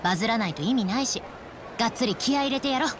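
An actress playing a brisk, confident young woman in a video game, casual and a little bossy. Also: fairly high pitch, animated, background noise, natural voice. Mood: brisk, take-charge. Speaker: game character actor. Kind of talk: Japanese video game voice acting.

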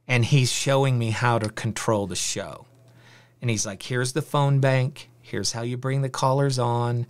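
A middle-aged man with a rather high, smooth, clear voice tells his story as a podcast host. He talks in a loose, animated way, with filler words along the way. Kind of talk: casual storytelling monologue. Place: home studio with virtual backdrop.

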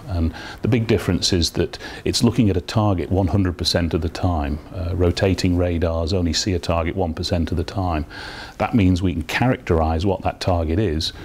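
Male company spokesman, very low pitch, explanatory interview delivery. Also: darkish tone, slight background noise.

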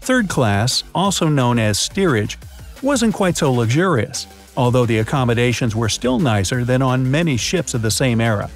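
Man narrating an explainer over soft music. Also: mid-range voice, very animated, normal speed. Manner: playful asides and jokes. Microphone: studio voice-over. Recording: faint background music.

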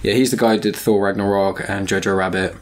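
Fast-talking British man with a lowish voice. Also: fairly even intonation. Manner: rambling, trailing off mid-thought. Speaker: podcast host. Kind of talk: casual film review chat.

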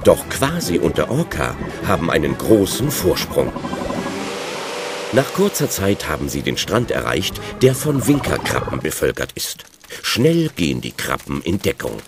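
A man's voice: extremely low pitch, huge pitch swings, unhurried, over music. A man narrating a children's audio drama as a scripted storyteller.

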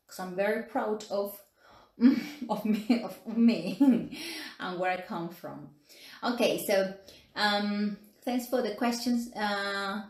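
A woman's voice: medium pitch, darkish tone, echoey room. A woman in her forties from Peru, with a Peruvian Spanish accent, teaching English in a casual live stream with lots of fillers and tag questions. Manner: chatty, laughs often, many fillers and tag questions. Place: small room indoors.

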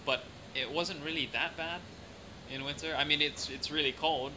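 A man's voice: fairly high pitch, fairly even intonation, normal speed. A young adult man with a mixed international, lightly British accent, chatting casually as an interview guest. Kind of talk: casual chat. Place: café or restaurant at night. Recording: clearly noisy room ambience.

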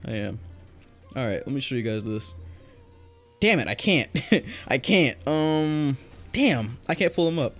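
A man's voice: medium pitch, expressive, a little slow, long pauses, soft background music. A young man chatting casually with viewers while playing a game, joking and laughing, his voice a bit worn from talking for hours. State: voice getting worn from long talking. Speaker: game streamer. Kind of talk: casual live-stream chat while gaming.

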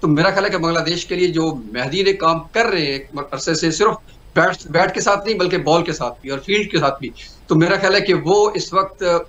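A man giving cricket analysis over a video call, speaking in a measured way with hesitant fillers. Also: fairly high pitch, a bit bright. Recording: roomy video call audio with faint hum.

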